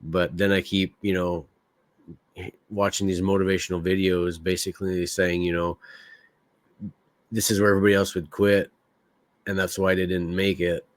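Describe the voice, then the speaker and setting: A man's voice: deep voice, level tone, normal speed, pauses often, no room sound. A man from Quebec hosting a podcast, talking candidly and rambling with fillers. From Quebec, Canada. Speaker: podcast host. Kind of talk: casual solo podcast talk.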